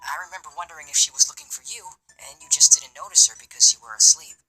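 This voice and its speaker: A man voicing a nervous, soft-spoken young male college student in a visual novel game, with a breathy, hesitant delivery. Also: on the high side, lively intonation, fast, faint music behind, low-quality recording. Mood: awkward, apologetic. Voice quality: breathy, whispery.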